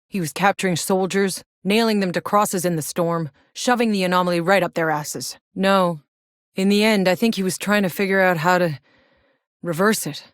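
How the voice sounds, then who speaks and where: Casting: actress to play battle-hardened sci-fi game heroine, female, lowish voice, blunt, wry, very dry close recording. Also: dry recording, lightly acted. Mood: grim, sardonic. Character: tough, sardonic battle-hardened female soldier in sci-fi wasteland. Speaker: video game voice actor. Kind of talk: scripted video game character voice lines.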